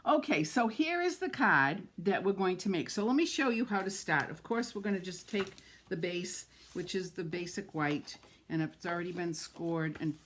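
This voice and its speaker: A woman's voice: on the low side, expressive, normal speed, few pauses, slight background noise. A middle-aged woman with a New England accent, chatting and gushing as she demonstrates card making on a live stream and greets viewers by name.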